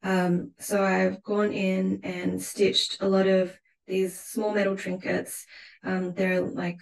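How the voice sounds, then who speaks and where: Aussie woman artist talking thoughtfully in an interview, lots of vocal fry, echoey room. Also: medium pitch, level tone, takes it slowly, a little mellow. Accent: Australian. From Australia. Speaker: visual artist being interviewed. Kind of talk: reflective interview talk about own art. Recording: roomy, echoing sound.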